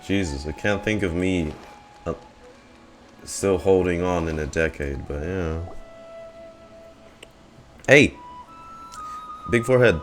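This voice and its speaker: A man's voice: sits low, steady tone, measured pace, soft background music. A man in his twenties giving casual live reaction commentary as he streams a game. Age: 20s.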